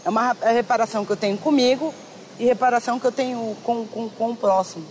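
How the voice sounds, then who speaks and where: A woman's voice: fairly high pitch, quick pace, a little mellow, a little hiss or hum. A woman from Brazil with a Brazilian accent, giving conversational personal testimony as an interviewee. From São Paulo, Brazil. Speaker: interviewee, writer telling her life story.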